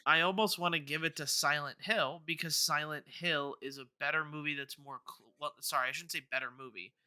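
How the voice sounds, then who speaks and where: Bright, forceful man chatting on a podcast. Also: a little high, fairly even intonation, fairly quick, clear, resonant tone, no room sound, studio quality. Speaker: podcast co-host. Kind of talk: casual debate chat.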